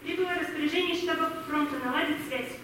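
A woman's voice: sits high, quick pace, a bit bright. A woman playing a timid, dutiful teenage army signaller in a stage play, formal and a little hesitant. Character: timid, dutiful nineteen-year-old girl army signaller. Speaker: amateur theatre actor. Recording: echoey hall, distant mic, noisy.

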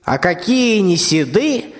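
High-pitched, cranky old tsar played by a young man. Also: very animated, slow pace, full character voice. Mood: cranky, boastful. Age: young adult. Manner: folksy rhymed declaiming, bossy. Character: bald, vain, cranky old tsar over a hundred. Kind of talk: student radio play of verse fairy tale. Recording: long hall reverb.

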